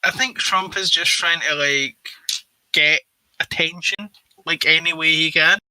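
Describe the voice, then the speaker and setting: A man's voice: a little high, measured pace, sharp tone, no echo, poor audio. A man in casual livestream conversation, opinionated and often repeating his phrases as he goes. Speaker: co-talker on a livestream. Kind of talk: casual political chat.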